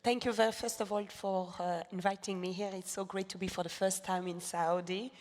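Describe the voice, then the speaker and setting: A middle-aged woman from the Democratic Republic of Congo, a foundation leader speaking earnestly on a panel, with hesitant pauses. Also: mid-range voice, steady tone, darkish tone. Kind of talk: panel talk. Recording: long hall reverb.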